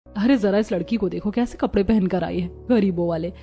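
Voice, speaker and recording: A woman's voice: mid-range voice, brisk, dark tone, faint music behind, lightly acted. A woman voicing a snobbish, spoiled young college girl in a cartoon story, with a haughty manner. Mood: scornful. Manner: haughty, disdainful. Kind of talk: Hindi cartoon moral story acting.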